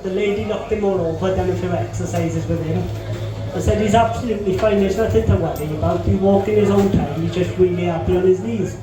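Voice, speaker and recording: A young man with a fairly high voice tells crude stories with deadpan timing. His voice booms around a very echoey hall, and music plays clearly behind him. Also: a little fast. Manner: crude, deadpan punchlines with profanity. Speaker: stand-up comedian. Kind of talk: stand-up comedy set. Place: comedy club stage.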